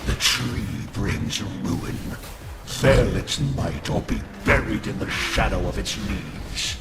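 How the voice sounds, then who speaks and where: A man's voice: fairly low pitch, slow pace, loud music behind the voice. A man voicing an ominous oracle in a video game, speaking solemn, menacing riddles like incantations. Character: ominous male oracle taunting in cryptic riddles. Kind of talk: scripted video game voice acting.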